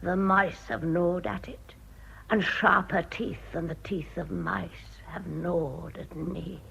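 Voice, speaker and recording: An actress playing a bitter, decayed old jilted woman in a radio drama, speaking in a grand, deliberate, theatrical manner. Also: fairly low pitch, gravelly, fairly bright tone, a little hiss or hum, heavily acted. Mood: hushed, bitter. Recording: dull old radio broadcast recording.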